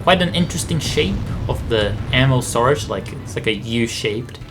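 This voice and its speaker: A man's voice: mid-range voice, expressive, moderate pace. A man giving casual, rambling, explanatory gameplay commentary on a video game. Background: game music and aircraft sounds.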